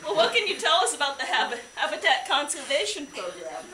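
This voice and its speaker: A woman's voice: high-pitched, sing-song, a little fast, pushed and bright. A woman asking scripted questions as the interviewer in a live puppet show. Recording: echoey room, distant mic, very noisy.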